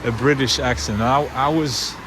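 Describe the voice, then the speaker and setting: A man's voice: mid-range voice, normal speed, noisy background. A young Swiss man with a Swiss German accent, rambling casually to camera in a car, full of fillers and restarts. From Switzerland. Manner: loose, unscripted, many fillers and restarts. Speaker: vlogger.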